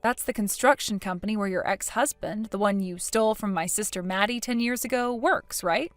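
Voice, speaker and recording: A woman's voice: medium pitch, brisk, no room sound, soft background music, lightly acted. A woman voicing a blunt, judgmental, scornful woman in story dialogue, smug and needling in a matter-of-fact way.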